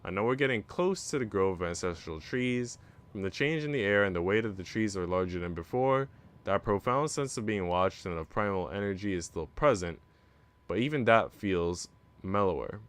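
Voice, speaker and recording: Low-voiced man narrating a story. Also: expressive, normal speed, clear, resonant tone. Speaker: streamer reading a visual novel aloud. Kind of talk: first-person story narration.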